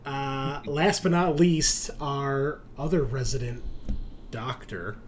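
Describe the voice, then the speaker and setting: A man's voice: medium pitch, slow pace. A man hosting a game stream in casual, informal chat, swearing freely. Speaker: host and game master.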